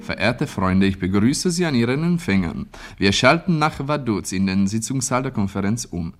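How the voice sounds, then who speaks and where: Actor as a low-voiced, courteous radio announcer. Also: male voice, animated, normal speed, soft background music, lightly acted. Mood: formal, courteous. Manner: formal, courteous. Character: courteous male radio announcer linking to a conference broadcast. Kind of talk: radio play news announcement.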